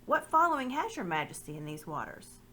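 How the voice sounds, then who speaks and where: A woman's voice: on the high side, very animated, a little fast, bright, forceful tone, a little hiss or hum, voice pushed up. A woman reading the part of a loyal middle-aged nobleman, speaking earnestly and courteously.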